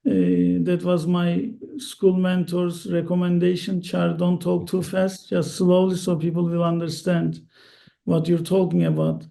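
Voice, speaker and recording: Slow-talking Turkish-accented man. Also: high-pitched, completely flat delivery, gravelly, mellow. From Turkey. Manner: slow, careful, halting with many uhs. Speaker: guest, real estate investor. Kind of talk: interview answers.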